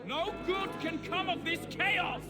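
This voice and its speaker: An actor playing a stern colonial authority in a video game, shouting declamatory orders over loud music. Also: male voice, very high voice, measured pace, a loud crowd. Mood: stern, commanding. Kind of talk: video game cutscene acting.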